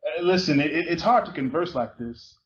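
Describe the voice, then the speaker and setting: A man's voice: on the high side, lively intonation, a little fast. A man giving a dramatic reading of a novel, narrating and acting out its dialogue. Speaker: theater performer reading a book excerpt aloud. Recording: muffled live recording with faint noise.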